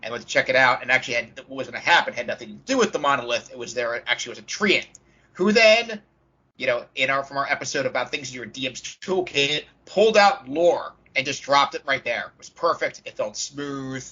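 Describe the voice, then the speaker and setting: A man's voice: mid-range voice, expressive, fairly quick, pushed and bright, husky, lo-fi sound. A man talking casually about tabletop gaming as a podcast host.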